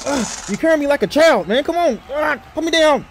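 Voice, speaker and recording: A man's voice: extremely high pitch, expressive, brisk, slight background noise, pitched above the performer's own voice. A man voicing a whiny, indignant basketball player, exasperated and profane, in a comedy dub. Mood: whiny protest.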